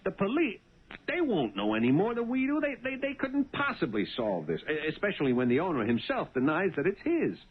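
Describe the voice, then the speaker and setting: An actor playing an excitable, stubborn cab driver and husband in a 1970s radio drama, stammering and insistent. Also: male voice, sits high, a little fast, breathy edge, exaggerated voice. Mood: excited, stubborn. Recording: noisy narrow-band old radio broadcast.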